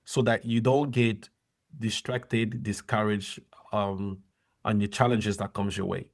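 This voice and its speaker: A middle-aged man, a former soccer player and coach, giving earnest, measured advice as a podcast guest. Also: lowish voice, level tone. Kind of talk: interview conversation.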